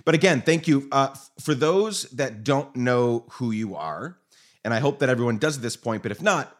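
A man hosting a podcast, enthusiastic and effusive, often stumbling and restarting his sentences. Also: medium pitch. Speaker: podcast host. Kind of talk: casual podcast interview. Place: Nashville.

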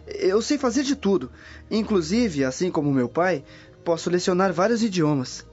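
A man's voice: sits high, big pitch swings, normal speed, a little mellow, breathy edge, exaggerated voice. An actor playing an eager, respectful young man in a Brazilian radio drama. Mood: eager, polite. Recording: muffled radio drama with music underneath.

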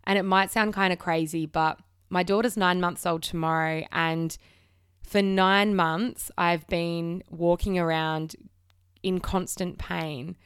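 A woman's voice: on the low side, fairly even intonation, slow pace, smooth, no room sound, studio quality. A woman in her thirties hosting her own podcast, talking frankly and openly with casual swearing and frequent restarts, while she has a slight cold. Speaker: podcast host. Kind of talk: candid solo podcast chat.

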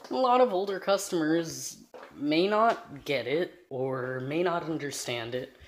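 A man with a high voice giving an earnest, rambling personal monologue to camera, trailing off into fillers as he thinks aloud. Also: animated, a little slow, slight background noise. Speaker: vlogger.

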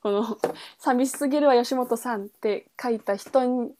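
A woman's voice: fairly high pitch, a little slow, noisy background. A woman podcast host in a casual book chat, thinking aloud in trailing, loosely linked phrases. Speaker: podcast host reviewing a novel.

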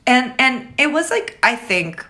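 A woman in her thirties with a raspy low alto voice, chatting casually and rambling through many fillers and restarts. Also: sing-song, unhurried, sharp tone. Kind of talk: casual podcast conversation.

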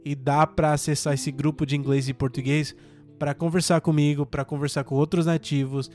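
A man's voice: on the high side, little pitch movement, moderate pace, a little mellow, soft background music. Man, earnest Portuguese learner. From United States. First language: English. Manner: earnest and motivational, with frequent fillers. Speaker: podcast host and language teacher. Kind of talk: casual solo podcast monologue.

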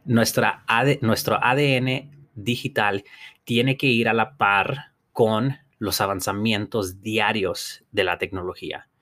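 A man's voice: on the low side, fairly even intonation, takes it slowly, a bit bright. A man hosting a podcast, giving an earnest, encouraging motivational talk in a language that is not his first, often restarting and restating his points. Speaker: podcast host.

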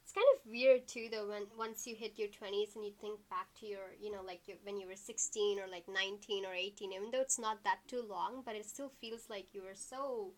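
A woman in her early twenties chatting casually as a podcast co-host, rambling with lots of fillers and quick asides. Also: mid-range voice, steady tone. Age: 20s. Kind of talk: casual chat.

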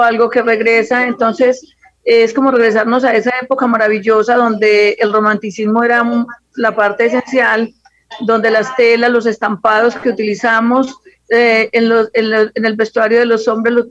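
A woman's voice: on the high side, dry recording. Colombian female designer as interview guest, level intonation, somewhat dull lo-fi sound. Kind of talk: spontaneous interview answers.

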